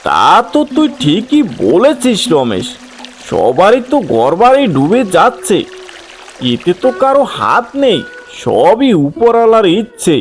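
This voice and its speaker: Man acting a haughty, animated, greedy village headman. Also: high voice, measured pace, exaggerated voice. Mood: condescending. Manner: haughty, dismissive. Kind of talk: cartoon folk tale dialogue. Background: background music.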